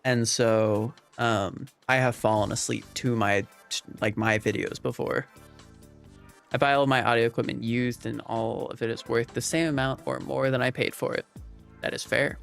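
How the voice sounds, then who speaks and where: A man's voice: medium pitch, steady tone, normal speed, creaky. A man hosting a livestream, chatting casually and rambling as he responds to viewers. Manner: rambling, conversational. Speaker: livestream host. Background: soft background music.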